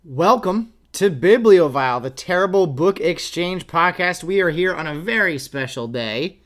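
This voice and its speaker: A man co-hosting a podcast with his wife, chatting casually and joking with quick rambling asides. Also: on the high side, expressive, faint music behind. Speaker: podcast co-host. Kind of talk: casual chat.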